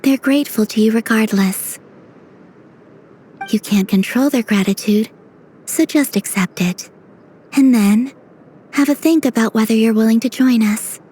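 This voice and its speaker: An actress playing an earnest, reflective young woman who is gentle yet frank, in a video game. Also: on the high side, measured pace, pauses often, a little hiss or hum, close to an everyday voice. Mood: firm, reassuring.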